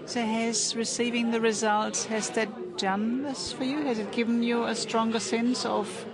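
A woman's voice: fairly high pitch, flat delivery, a little slow, crowd noise. A woman, narrating and interviewing as a radio reporter. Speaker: reporter and presenter.

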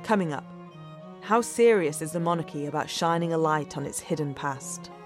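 A woman reporter and podcast host giving steady, clear scripted narration. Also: deep voice, moderate pace, soft background music.

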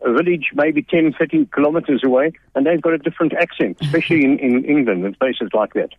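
A man's voice: a little high, fast, slightly rough, dry recording. A man with a South African accent calling into a radio show, asking his question a little hesitantly. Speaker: caller. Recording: tinny phone line.

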